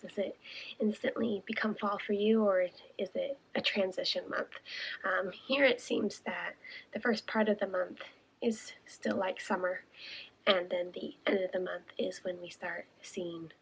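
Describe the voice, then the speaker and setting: Congested, husky-voiced young woman chatting. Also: medium pitch, low-quality recording. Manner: chatty, with many ums and uhs. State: congested, a little raspy from smoke. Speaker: podcast host and shepherd. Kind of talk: casual chat to camera.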